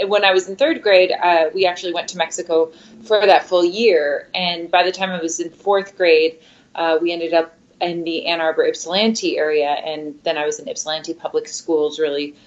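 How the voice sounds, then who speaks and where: A woman who grew up speaking Spanish first, answering interview questions in a thoughtful, measured way with frequent fillers. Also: fairly low pitch, steady tone. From Michigan, USA. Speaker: guest, opera singer. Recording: dull lo-fi sound.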